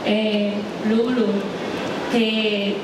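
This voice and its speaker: A woman's voice: medium pitch, flat delivery, drawn-out words. A Puerto Rican woman in her forties with a Puerto Rican Spanish accent, giving a passionate speech at an outdoor community forum, repeating herself and slipping into English words. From Puerto Rico. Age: 40s. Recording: echoey outdoor recording from far off, loud noise.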